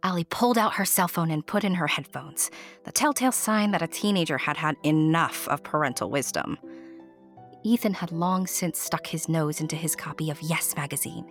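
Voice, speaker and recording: A woman narrating a scripted story straight to the listener in a playful, intimate, theatrical way, often hushed. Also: low-pitched, moderate pace, faint music behind.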